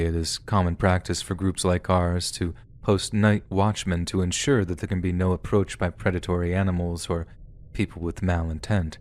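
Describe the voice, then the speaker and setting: A man reading true horror stories aloud in a steady, measured first-person narration. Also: deep voice.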